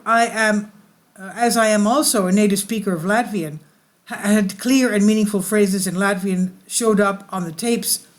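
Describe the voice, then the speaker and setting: A woman hosting her channel, reading an article aloud and often stumbling and correcting herself mid-sentence. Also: mid-range voice. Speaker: channel host.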